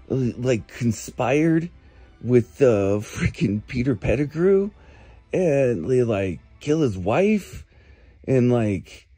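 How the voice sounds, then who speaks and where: Slacker guy rambling on a voicemail, mellow and a bit husky, music behind him. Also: mid-range voice, no echo. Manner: laid-back slacker, drawn-out words, frequent "like", chuckling. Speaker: comic voicemail caller. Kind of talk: rambling casual movie recap as a phone message.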